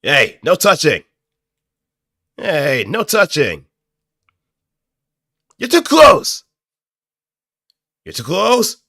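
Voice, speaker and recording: A man voicing an indignant fighting game character, barking short warnings loudly and forcefully. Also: a little high, huge pitch swings, drawn-out words, long pauses, voice pushed up, heavily acted. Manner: loud, forceful shouted barks. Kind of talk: video game battle voice lines.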